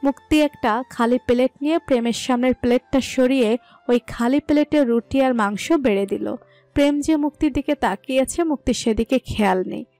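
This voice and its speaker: A woman's voice: sits high, moderate pace, warm tone, dry recording, soft background music. A woman reading a scripted story as its narrator.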